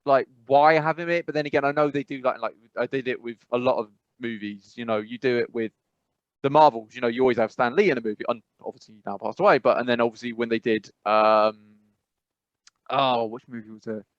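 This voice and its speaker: A man's voice: medium pitch, steady tone, fast, dry recording, dull audio. A man chatting casually as a podcast co-host, rambling and acting out reactions as he goes. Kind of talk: casual chat.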